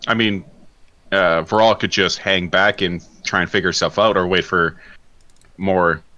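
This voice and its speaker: A man playing a tabletop game, asking halting, hesitant questions in casual chat. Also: low-pitched, steady tone, pushed and bright, highs cut off. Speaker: player.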